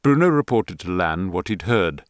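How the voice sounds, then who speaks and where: A man narrating a thriller audiobook in a measured, even reading on a close studio mic. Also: low-pitched, no echo.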